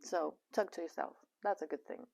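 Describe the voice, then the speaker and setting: Woman, Polish accent, podcast co-host, warm encouraging reflective talk, low pitch. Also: animated, fairly quick, vocal fry. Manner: encouraging, reflective, laughs easily. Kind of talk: casual podcast conversation.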